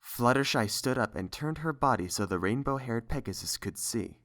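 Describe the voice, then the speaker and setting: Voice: medium pitch, expressive, normal speed, a bit bright. A narrator reading scripted story prose.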